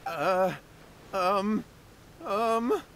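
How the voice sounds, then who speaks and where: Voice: mid-range voice, very slow, fairly bright tone, slight background noise. An actor voicing a nervous, evasive young man in a video game, hesitant and stammering.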